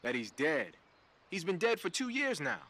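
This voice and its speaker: A man's voice: fairly high pitch, big pitch swings, moderate pace, pushed and bright, full character voice. An actor playing a laid-back, streetwise male tech specialist in a video game, talking casually with slang. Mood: casual, informative.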